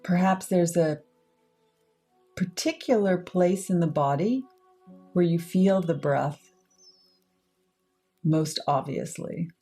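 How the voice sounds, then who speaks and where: A woman with a low, slightly husky voice guides a meditation. Her tone is mellow and relaxed, and she delivers short, measured phrases with unhurried pauses between them. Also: soft background music. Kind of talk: guided meditation, slow soothing instruction.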